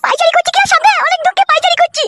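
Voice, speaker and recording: A woman's voice: very high voice, fairly even intonation, very fast, heavy background noise. A woman voicing a worried, complaining young daughter-in-law in an amateur comedy cartoon. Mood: agitated, aggrieved. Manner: agitated, complaining.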